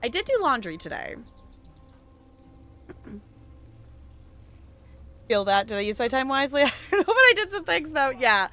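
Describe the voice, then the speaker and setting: A woman's voice: sits high, big pitch swings, normal speed, long pauses, pushed and bright. A woman streamer chatting casually while she plays, laughing often and talking to the game. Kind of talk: casual chat while gaming.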